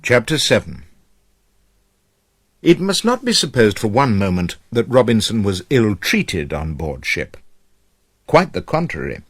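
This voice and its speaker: A man narrating a children's story from a script as a storyteller, reading aloud in an expressive, measured manner. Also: lowish voice, slightly rough, no echo, studio quality, faint music behind.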